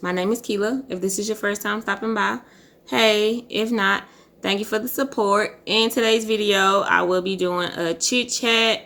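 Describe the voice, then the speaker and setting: A young woman, hoarse and husky, chatting casually as a beauty vlogger while telling a story. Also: mid-range voice, normal speed. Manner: relaxed, chatty, says y'all and um often. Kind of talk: casual storytelling chit chat. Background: music.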